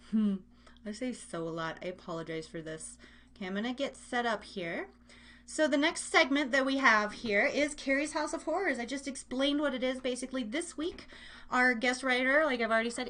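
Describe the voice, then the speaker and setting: A woman's voice: on the high side, fairly bright tone. A woman hosting a live online radio show in casual, rambling, chatty talk, often poking fun at herself.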